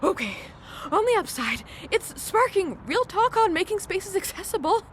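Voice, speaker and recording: High-voiced, exasperated young tour guide. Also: female voice, big pitch swings, normal speed, slight background noise. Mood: strained, frustrated. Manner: sarcastic, outspoken. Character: young wheelchair-using tour guide, exasperated, sarcastic and blunt. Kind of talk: audio drama acting, conversational.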